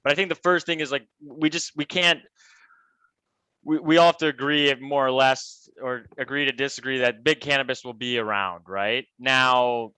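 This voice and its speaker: A man in his thirties from Michigan with an American accent, chatting as an interview guest, relaxed and halting with many restarts. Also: medium pitch, clear, resonant tone, no room sound, low-quality recording. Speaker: guest, cannabis advisor. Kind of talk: casual interview talk.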